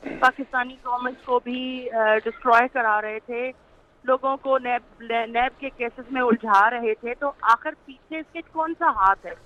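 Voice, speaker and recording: Through a narrow, tinny phone connection comes a high-pitched Pakistani woman. She speaks as a political panel guest, making her argument haltingly and leaning on repeated filler phrases. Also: sharp tone. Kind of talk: political argument on a talk show.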